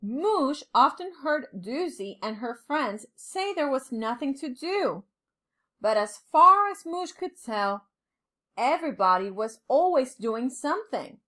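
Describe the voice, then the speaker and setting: Female storybook reader for young children; high, very animated, deliberate with dramatic pauses. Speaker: teacher reading a storybook to children. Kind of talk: expressive children's storybook read-aloud.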